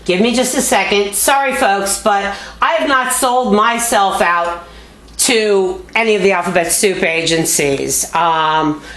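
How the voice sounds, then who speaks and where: A woman with a loud voice speaking off the cuff as an activist host, making an emphatic, indignant, folksy appeal straight to viewers. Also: medium pitch, measured pace, slight background noise. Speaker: activist video host and fundraiser. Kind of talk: impassioned unscripted appeal to viewers. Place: motel room. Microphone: small video camera. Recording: echoey room, homemade camera audio. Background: background music.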